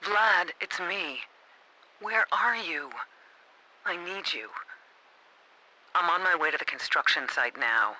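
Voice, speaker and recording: A hushed, lovesick woman on voicemail. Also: on the low side, lively intonation, slow pace, pauses often, heavily acted, rough recording. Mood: needy, anxious. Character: lovesick, anxious female accomplice leaving a secret message. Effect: answering-machine playback. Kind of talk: scripted game voicemail acting.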